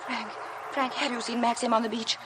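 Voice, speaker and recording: An actress playing a meek, anxious young wife in a 1950s radio drama, breathless and earnest. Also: female voice, on the high side, flat delivery, fairly quick, noisy background, natural voice. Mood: worried. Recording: vintage 1950 radio broadcast.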